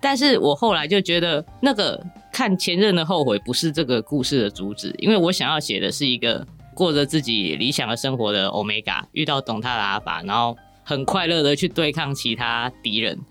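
A woman's voice: extremely low pitch, expressive, brisk, hardly any pauses, a bit bright, faint music behind. A woman with a Taiwanese Mandarin accent chatting casually as a podcast guest, candid and wry in a colloquial way. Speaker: podcast guest, novel author.

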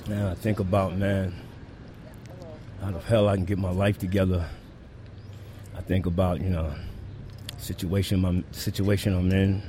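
A man giving a candid, halting street interview in plain colloquial words, recorded outdoors. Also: sits low, level tone, dark tone. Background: vehicle and street noise.

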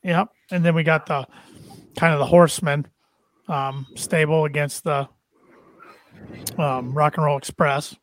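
Chatty higher-voiced male podcaster, dry sound. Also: steady tone, no echo. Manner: chatty, quick agreeing yeps, eager. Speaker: podcast co-host. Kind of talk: casual wrestling podcast chat.

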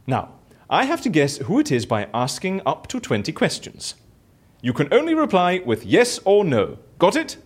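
A man's voice: on the high side, huge pitch swings, normal speed, slightly rough, exaggerated voice. A man acting in an audio drama as a bored, boastful, theatrical raconteur who talks chattily and self-importantly. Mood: playful. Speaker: lead character in audio fiction.

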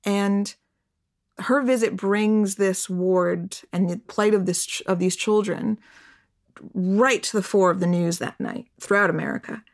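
A woman's voice: mid-range voice, a little slow. A woman on a podcast who speaks in an earnest, articulate and emphatic way while explaining historical background. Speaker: podcast guest.

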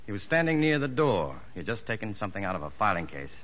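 Give me an earnest, animated prison doctor. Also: male voice, on the low side, quick pace, slight background noise. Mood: grave narration. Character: level-headed male prison doctor, earnest narrator. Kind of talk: 1950s radio drama acting and narration. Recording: dull old radio recording, faint music.

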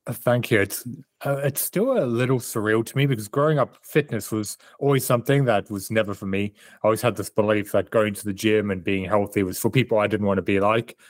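A low-pitched man in his thirties, from South Africa, talks about his life with candid reflection. He is a coach and author calling in to an interview. The sound is dry and close. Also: fairly quick, no room sound. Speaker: guest, performance coach and author. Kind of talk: remote interview answers.

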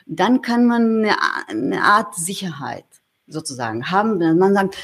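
Husky-voiced woman thinking aloud. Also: fairly low pitch. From Germany. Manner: thoughtful, searching, many restarts and fillers. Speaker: interview guest. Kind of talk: reflective conversational interview.

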